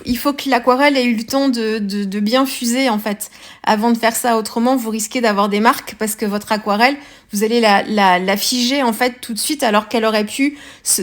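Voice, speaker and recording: A French woman explaining her scrapbooking steps casually, with many fillers and restarts. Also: a little high. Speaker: scrapbooking vlogger. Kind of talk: casual craft tutorial narration.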